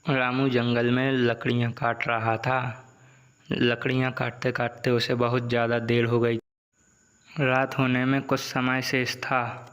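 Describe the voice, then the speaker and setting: A man's voice: medium pitch, clean tone. A man reading a scripted folk tale as the narrator. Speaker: story narrator.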